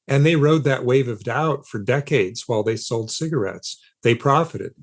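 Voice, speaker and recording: A man's voice: mid-range voice, normal speed. Man explaining as an interview guest. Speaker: interview guest, philosopher of science and author.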